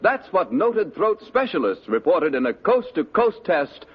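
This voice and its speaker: A man's voice: high-pitched, sing-song, unhurried, breathy edge. A man announcing a 1950s radio drama and its sponsor in a formal, declaiming style. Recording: dull old radio broadcast.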